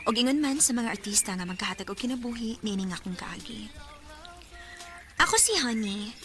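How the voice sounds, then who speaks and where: A woman's voice: fairly high pitch, animated, a little fast, fairly bright tone, soft background music. A woman playing an earnest young daughter in a Bisaya radio drama, with a Cebuano Filipino accent. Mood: soft, sincere. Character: earnest young daughter, female letter sender Honey.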